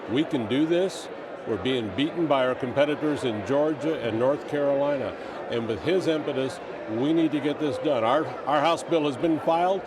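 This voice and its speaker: A male state representative answering interview questions in an assertive, emphatic way. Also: mid-range voice. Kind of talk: political interview response. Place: large indoor statehouse lobby. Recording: noisy public lobby ambience.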